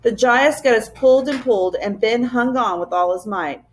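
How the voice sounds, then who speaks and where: Higher-pitched woman reading a children's story. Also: normal speed, slight background noise. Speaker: teacher reading aloud to pupils. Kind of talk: expressive children's storybook narration.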